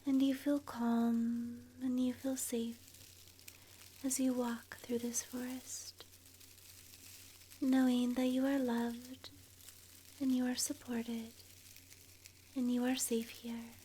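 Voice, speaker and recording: A woman softly narrating an ASMR guided meditation in a breathy, whispery voice, gentle and soothing, leaving long pauses. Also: fairly high pitch, level tone, a little hiss or hum.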